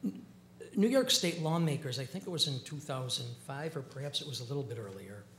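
A man in his fifties speaking formally as a lawmaker at a public hearing, giving a measured, halting rebuttal into a desk microphone. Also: medium pitch, lively intonation, fairly bright tone. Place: large indoor legislative hearing room, seated at the dais. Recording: echoey chamber with hum.

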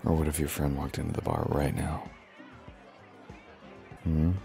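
Flirty, commanding former professor, acted by a man: extremely deep, creaky, breathy delivery for intimate ASMR roleplay. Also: big pitch swings, a little slow, mellow, soft background music, a faint crowd, heavily acted. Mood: dominant, seductive. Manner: breathy, murmured, teasing, often dropping to near-whisper.

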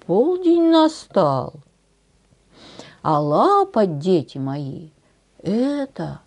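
A woman in her sixties telling a folk tale to children in an expressive, grandmotherly manner with drawn-out phrases. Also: medium pitch. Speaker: storyteller for children. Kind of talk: folk tale storytelling to camera. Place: small decorated indoor room.

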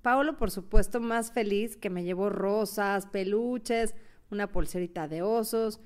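A woman's voice: medium pitch, unhurried, natural voice. A woman playing a lovestruck, anxious young woman who tells of her heartbreak in a radio novela. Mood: heartbroken, earnest. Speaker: narrator and actress. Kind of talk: dramatic radio novela narration and acting.

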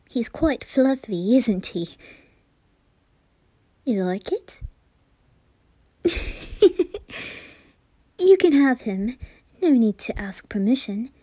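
Woman voicing a coy, soft-spoken boy noble. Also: on the high side, slightly rough, no echo, full character voice. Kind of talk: ASMR roleplay.

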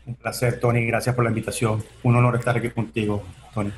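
A man's voice: medium pitch, level tone, quick pace, slight background noise. A man in his forties, born in Venezuela and raised in the United States, answering interview questions in a chatty way with many restarts over a video call. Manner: chatty, many fillers and restarts, gestures while talking. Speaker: entertainment attorney, guest. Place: home office on video call.

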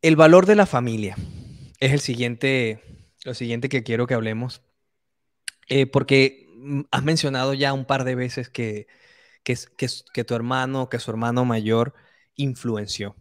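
A man's voice: mid-range voice, steady tone, measured pace, no room sound, clean studio sound. A man hosting a live interview, affirming his guest and phrasing questions with hesitations. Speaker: interview host.

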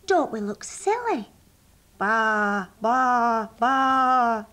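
A woman's voice: high voice, sing-song, slow pace, heavily acted. A woman voicing a silly, playful female sheep who bleats and exclaims in a children's storybook reading. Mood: bubbly.

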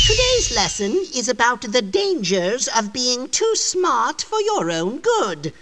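A man's voice: extremely high pitch, noisy background, heavily acted. A man voicing an evil old magician in a cartoon video game, taunting and melodramatic, gleefully hateful of clever children. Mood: boastful menace. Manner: taunting, melodramatic, drawn-out sneering delivery. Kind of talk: theatrical cartoon villain acting in a video game. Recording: loud music behind the voice. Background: loud music.

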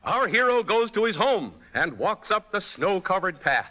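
A man's voice: high-pitched, very animated, moderate pace. A man hosting a 1940s radio show, announcing and narrating from a script. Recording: dull, noisy old radio recording, long reverb.

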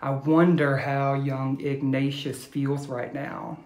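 An American man, a teacher in his thirties, reading aloud to children and asking them questions in an expressive, instructional way, recorded on a phone at home. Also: medium pitch, takes it slowly. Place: small room at home, indoors. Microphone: phone at arm's length. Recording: echoey room, phone recording.

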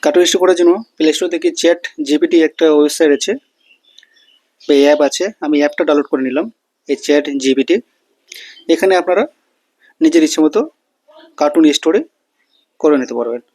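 Higher-pitched man explaining a tutorial. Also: a little fast, pauses often, a little mellow, no room sound, rough recording. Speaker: tutorial host. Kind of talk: casual step-by-step screen tutorial.

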